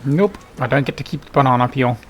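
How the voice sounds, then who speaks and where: A man's voice: mid-range voice, quick pace, a little hiss or hum. A man giving casual let's play commentary, muttering to himself in short remarks. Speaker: gaming video creator. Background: faint game music.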